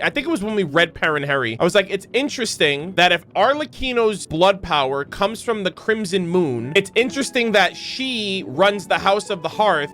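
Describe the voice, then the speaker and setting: A man giving casual, excitable reaction commentary as a streamer, rambling and emphatic. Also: high voice. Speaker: streamer reacting to game lore.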